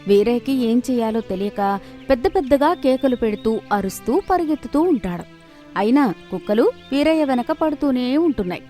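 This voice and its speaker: A woman's voice: on the high side, lively intonation, moderate pace, warm tone. A woman narrating a scripted moral story. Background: faint background music.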